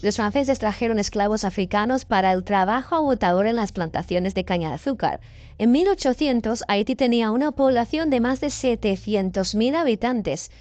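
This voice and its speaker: A woman reading a scripted news documentary narration in a clear, measured way. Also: a little high, animated, darkish tone, no echo.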